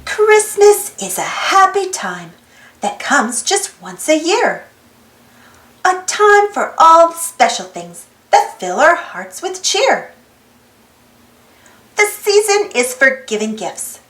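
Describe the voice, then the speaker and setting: A woman reading a children's storybook aloud in an expressive, singsong rhymed delivery. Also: very high-pitched, takes it slowly. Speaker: storybook reader, channel host. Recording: roomy echo with music behind. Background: music.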